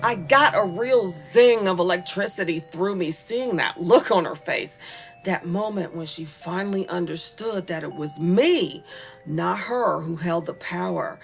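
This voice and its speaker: A woman playing a spoiled, bored young female killer in an audio horror monologue, taunting and conversational, with gleeful, drawn-out musing. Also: fairly low pitch, gravelly. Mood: savoring, chilling.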